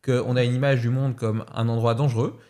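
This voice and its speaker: A man hosts a casual podcast conversation. His voice sits at an ordinary middle pitch. He talks reflectively and hesitates often as he thinks aloud. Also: flat delivery, fairly quick, mellow. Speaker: podcast host.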